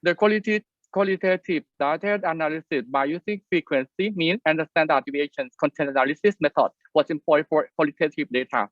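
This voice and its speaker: Man presenter reading a summary aloud, higher voice, dry dull audio. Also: a little fast, fairly bright tone, dry recording. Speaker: conference presenter.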